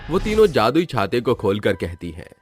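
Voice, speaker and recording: A man's voice: mid-range voice, huge pitch swings, moderate pace. A man telling a scripted children's story as its narrator. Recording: music behind the voice.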